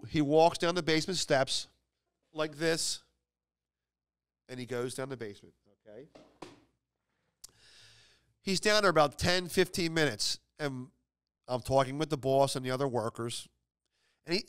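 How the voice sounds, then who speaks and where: Clear-voiced, higher-pitched man telling rambling stories. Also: fairly bright tone. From Philadelphia, United States. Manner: rambling, halting, repeats words for emphasis. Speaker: comedian and show host. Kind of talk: comedic anecdote storytelling, casual.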